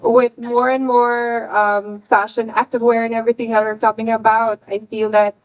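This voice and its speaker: A woman's voice: a little high, little pitch movement, moderate pace, lo-fi sound. A woman designer speaking on a panel in a casual, thoughtful way, with many fillers. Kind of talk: panel talk.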